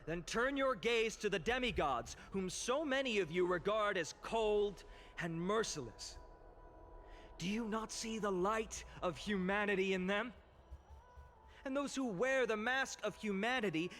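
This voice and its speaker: A man's voice: sits high, fairly bright tone, slight background noise. A man playing an impassioned young orator in a video game, addressing an assembly with emphatic, eloquent, theatrical rhetoric. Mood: fervent, pleading. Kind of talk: dramatic theatrical game voice acting, public oration.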